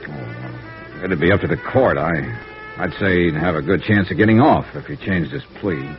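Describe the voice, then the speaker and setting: An actor playing a worldly, soft-hearted insurance investigator in clipped, wry 1950s radio noir style. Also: male voice, deep voice, lively intonation, normal speed. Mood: wry, measured. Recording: dull vintage radio recording, faint music.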